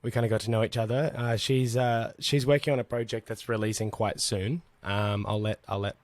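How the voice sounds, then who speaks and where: Low-voiced man hosting a casual chat. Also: fairly quick, dry recording, clean studio sound. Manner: relaxed, rambling, many fillers and restarts. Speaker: host.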